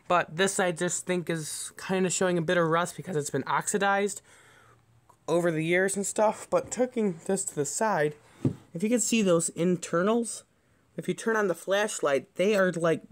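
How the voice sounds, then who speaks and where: A man giving a casual, unscripted show-and-tell as a vlogger, rambling along in a matter-of-fact way. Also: high voice. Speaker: vlogger showing an antique radio.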